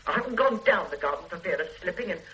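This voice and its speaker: An actress in a radio play voicing a bitter, resentful older invalid wife who speaks in a cold, cutting, controlled way. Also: female voice, fairly low pitch, lively intonation, very fast, bright, forceful tone, rough recording.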